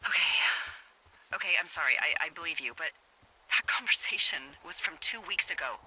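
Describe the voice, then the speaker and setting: A woman's voice: sits low, moderate pace, sharp tone, poor audio. A woman playing a wry, profane fire lookout supervisor over a two-way radio, in natural, conversational video game acting with stammered repetitions. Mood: hesitant, doubting. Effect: two-way radio filter. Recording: walkie-talkie sound with faint noise.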